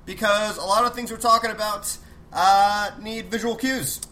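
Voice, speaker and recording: A man's voice: high voice, steady tone, moderate pace, pushed and bright, slight background noise, poor audio. A man co-hosting a casual podcast, slightly sick and congested, rambling and joking about himself. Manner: rambling, jokey, self-deprecating. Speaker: podcast co-host.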